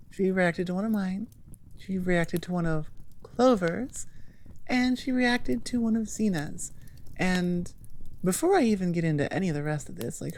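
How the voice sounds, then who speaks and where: A woman's voice: mid-range voice, darkish tone. A woman hosting her audio channel in casual, rambling chat, informal and self-deprecating as she thinks aloud. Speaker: audio roleplay creator and channel host.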